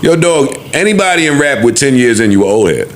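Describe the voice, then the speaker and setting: A man's voice: mid-range voice, big pitch swings, brisk, slight background noise. A man hosting a podcast in casual panel talk. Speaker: podcast host.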